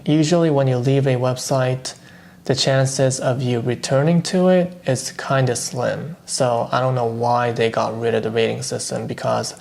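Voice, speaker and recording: A man talking casually and thoughtfully on his own channel, giving low-key opinions with dry asides. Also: vocal fry, darkish tone. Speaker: vlogger reviewing streaming shows. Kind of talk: casual solo commentary.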